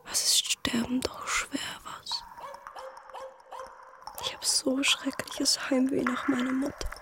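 A woman playing a frightened, homesick girl in a camp sick barrack, lamenting in a hushed whisper in a radio play. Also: high voice, completely flat delivery, slow. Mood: frightened, sorrowful. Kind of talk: German radio play acting.

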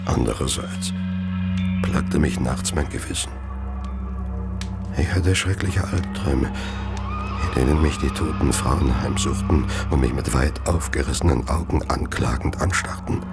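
An actor playing a tormented murderer, narrating his deeds in a brooding, measured, gravelly voice for a radio play. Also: over loud music. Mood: haunted, brooding. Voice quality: very deep, gravelly. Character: tormented, murderous male serial killer confessing his deeds. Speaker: narrator-character in an audio drama. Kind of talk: dramatic first-person radio play narration.

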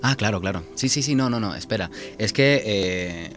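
A man's voice: fairly low pitch, expressive, normal speed, fairly bright tone, soft background music. A man with a Cuban accent, a guest author chatting on a radio show, often hesitating and repeating words. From Cuba. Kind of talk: radio interview chat.

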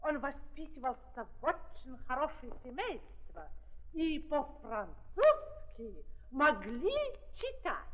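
An actress playing a fussy, proud brothel madam in a 1930s radio play, with a German accent and effusive broken Russian. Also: female voice, high voice, sing-song, exaggerated voice. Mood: gushing. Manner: slow, effusive, ungrammatical speech. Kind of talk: 1930s theatrical radio drama acting. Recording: muffled 1930s archive recording.

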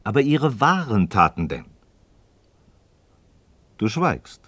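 A man's voice: mid-range voice, very animated, takes it slowly, fairly bright tone, dry recording, full character voice. An actor playing an inquisitive, skeptical man in a radio play, speaking articulate, rhetorical in philosophical dialogue. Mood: puzzled, probing.

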